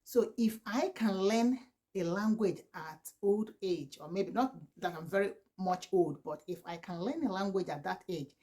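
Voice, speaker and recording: A woman's voice: medium pitch, animated, moderate pace, mellow. A woman in her forties with a Nigerian accent, whose first language is Igbo, giving earnest, pleading advice to camera with many restarts. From southeastern Nigeria. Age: 40s. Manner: earnest and pleading, with repeated "please" and frequent restarts. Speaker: vlogger, mother of four.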